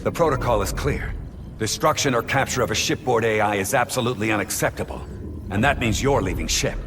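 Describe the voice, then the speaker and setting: An actor playing a stern, middle-aged starship captain in a sci-fi game, measured and authoritative, giving clipped orders. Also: male voice, mid-range voice, background noise, natural voice. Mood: firm, resolute. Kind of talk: cinematic military sci-fi game acting. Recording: long reverberant hall, clear music behind.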